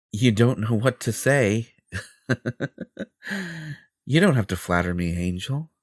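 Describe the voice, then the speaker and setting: A man's voice: on the low side, no room sound, studio quality, full character voice. A man playing a vain, possessive superhero in an audio roleplay, theatrical and self-important, with a flirtatious streak. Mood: smooth, flirtatious.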